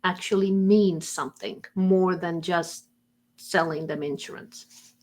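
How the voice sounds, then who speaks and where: A woman's voice: on the low side, steady tone, measured pace, darkish tone. A woman with an Estonian accent talks as a guest in a casual interview. She speaks in an earnest, thoughtful way. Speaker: insurance agent guest.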